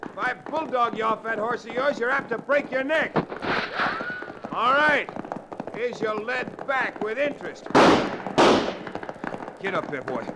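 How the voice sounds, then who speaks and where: A man's voice: extremely high pitch, expressive, a little slow, pauses often. An actor playing a shrewd, tough American frontier hero in an old-time radio western, telling his tale in folksy style. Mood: urgent, commanding. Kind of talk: old-time radio drama acting, first-person narration. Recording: noisy vintage radio broadcast recording.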